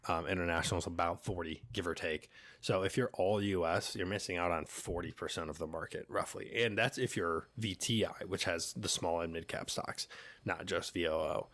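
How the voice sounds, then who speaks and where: A man with a cold and a nasal voice, hosting a finance channel in a relaxed, chatty way with many fillers. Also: low voice, moderate pace, no room sound. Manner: relaxed, filler-heavy, conversational. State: congested with a cold. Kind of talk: casual talking-head explainer.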